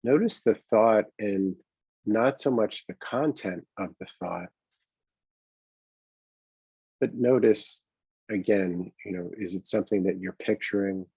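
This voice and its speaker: A man with a very low, husky voice guides a meditation in a gentle, improvised way. He takes his time, halting and leaving long pauses between phrases. The recording sounds dull and lo-fi. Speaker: meditation coach leading a guided exercise. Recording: dull, boxy, roomy lo-fi sound.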